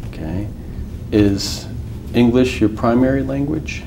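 A man questioning a witness as an attorney in a formal deposition, measured with filler pauses. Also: mid-range voice, warm tone, slight background noise, rough recording.

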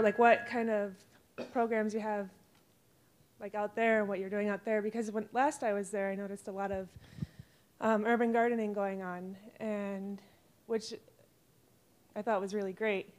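A woman's voice: medium pitch, level tone, measured pace, pauses often, darkish tone. Hesitant American woman asking a question. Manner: hesitant, searching for words. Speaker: audience questioner. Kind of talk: casual spoken question.

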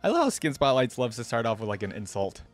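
Young adult man, reaction host, casual commentary, medium pitch, dry close sound. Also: very animated, fast talker, dry recording, faint music behind.

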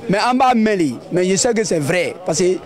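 A man's voice: sits high, expressive, moderate pace. A young man answering a street interview at an outdoor market, emphatic and repeating his points. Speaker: passerby interviewee. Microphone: handheld mic held by interviewer. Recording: crowd noise of a busy market.

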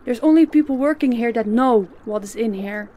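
A woman playing a stern, menacing female boss in an audio drama, speaking in a commanding, deliberate way. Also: on the high side, warm tone, lightly acted. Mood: cold, threatening. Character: stern, menacing female crime boss posing as business owner.

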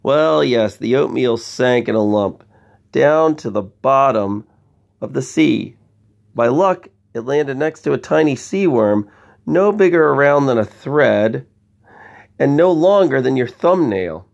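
A man's voice: medium pitch, expressive, slow, gravelly, highs cut off. A man reading a picture book aloud to children, expressively, with chatty asides and questions to young listeners. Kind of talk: bedtime story read-aloud with conversational asides.

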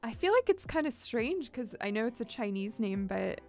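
Young woman streamer, chatty and bright-pitched, light fry, close studio mic, faint music underneath. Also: very animated, normal speed. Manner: chatty, upbeat, squeals greetings at viewers. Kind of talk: casual live-stream chat. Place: small home studio, indoors. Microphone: large studio mic on boom, headphones.

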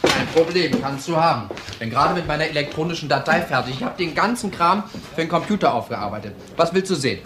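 A man acting a matter-of-fact male police technician in a crime radio drama. His voice sits fairly high and his delivery is casual and dry, over clear noise. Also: lively intonation, fast talker, close to an everyday voice. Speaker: actor.

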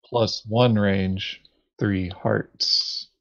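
A man playing a board game, chatting casually and thinking aloud in a halting way. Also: on the low side, fairly even intonation, gravelly, fairly bright tone, dull audio. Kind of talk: casual tabletop game chat.

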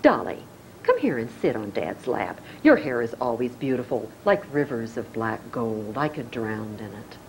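A woman's voice: a bass voice, sing-song, moderate pace, a little mellow, slight background noise, voice pushed down, full character voice, poor audio. A woman voicing a doting, flirtatious middle-aged father who is a senator, in a solo stage reading. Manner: doting, syrupy endearments.

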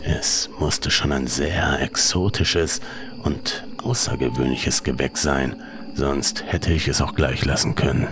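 A man reads a first-person horror tale in a very deep, creaky voice. He takes his time, measured and suspenseful, letting phrases sink into breathy hush. The delivery is slow and scripted. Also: fairly even intonation, background noise. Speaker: horror story narrator.

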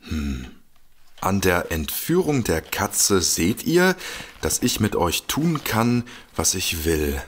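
A man's voice: low voice, very animated, slow pace, a little hiss or hum, full character voice. An actor playing a sardonic, easily exasperated male detective in a comedic audio drama. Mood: grave, puzzled.

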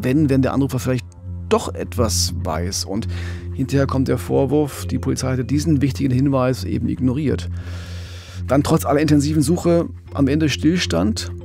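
Gravelly German man telling true crime. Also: medium pitch, moderate pace, a little mellow, faint music behind. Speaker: podcast co-host. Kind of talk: conversational true-crime storytelling.

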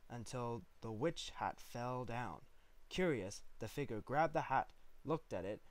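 Male narrator, medium pitch, scripted storytelling, third-person reading.

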